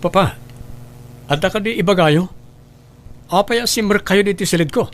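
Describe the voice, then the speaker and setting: A male actor plays an earnest grown son in an audio drama, speaking in a fairly high male voice with an Ilocano Filipino accent. He sounds emotionally invested, as one who pleads with family. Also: normal speed, over music, close to an everyday voice. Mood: distressed, pleading. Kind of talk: Ilocano radio drama acting.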